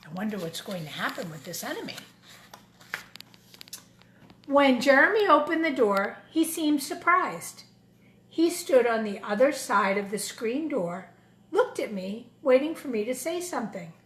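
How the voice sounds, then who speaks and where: In an echoey room, a woman with a fairly high voice reads a children's story aloud. Her delivery is expressive and deliberate, and she breaks off for questioning asides to her young listeners. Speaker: storyteller reading a picture book to children.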